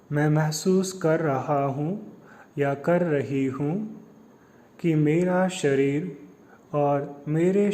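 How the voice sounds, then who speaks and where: A man guides a meditation in a slightly high, mellow voice. His delivery is very slow and measured, leaving long pauses between instructions. He sounds like a coach taking listeners through each step in turn. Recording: long hall-like reverb on the voice.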